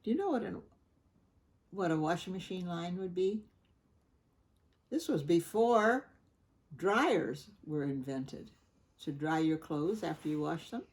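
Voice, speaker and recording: Woman, picture book read-aloud, unhurried, husky, gentle, asks kids questions. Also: lowish voice, lively intonation. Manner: gentle, chatty, pauses to ask the listener questions. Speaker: storybook reader.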